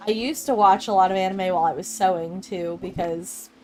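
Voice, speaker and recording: A woman's voice: medium pitch, steady tone, fairly quick, darkish tone, faint music behind. A young woman streaming a game, chatting casually and rambling with frequent laughs as she answers her chat. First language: English. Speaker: gaming streamer. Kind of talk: casual chat with live chat while playing.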